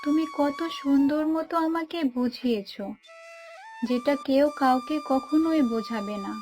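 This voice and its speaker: A high, unhurried voice, a woman playing a devoted young cartoon wife. She sounds earnest, tender and trusting in a fairy-tale scene. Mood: tearful gratitude. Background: background music.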